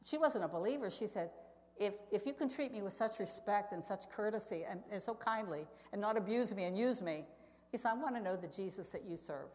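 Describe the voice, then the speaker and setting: A woman's voice: mid-range voice, animated, fairly quick, a little mellow. Woman preaching in an echoey hall. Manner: earnest, conversational, addresses listeners with rhetorical questions. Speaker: missionary speaker. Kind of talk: church testimony talk, storytelling to congregation. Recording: echoey hall with long reverb.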